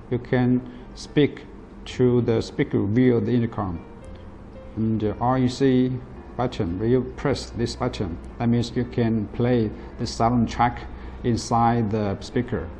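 Guy doing a product demo, non native english, mellow voice, mid pitch, kinda halting, bit of music behind. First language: not English. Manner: plain, halting, step-by-step explaining. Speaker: product presenter. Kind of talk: product demonstration. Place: indoor office at a table.

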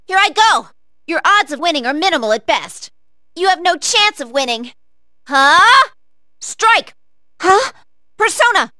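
A woman's voice: extremely high pitch, big pitch swings, unhurried, long pauses, pushed and bright, dry recording, clean studio sound, exaggerated voice. A woman playing an earnest, polite young female android fighter in a video game, calling out clipped, formal battle commands. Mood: battle-focused. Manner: clipped, formal machine-like status callouts.

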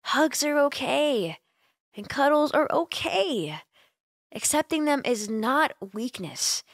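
A young woman voicing an affectionate, teasing, sleepy roommate in a gentle, intimate ASMR comfort roleplay, close to the microphone. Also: high voice, animated, slow pace, no echo, full character voice. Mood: teasing, reassuring. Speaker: roleplay voice actor. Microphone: close microphone, dry.

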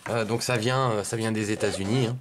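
A man's voice: fairly low pitch, fairly even intonation, a little fast, noisy background. A man from giving casual, hesitant, rambling unboxing commentary indoors at a table. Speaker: video host, card collector.

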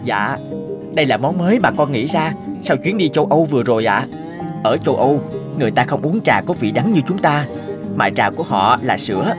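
A man's voice: mid-range voice, expressive, brisk, close to an everyday voice. A man voices a young cartoon teahouse owner. He is earnest and polite, explaining himself respectfully, with plucked guitar music behind him. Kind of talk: Vietnamese cartoon dub.

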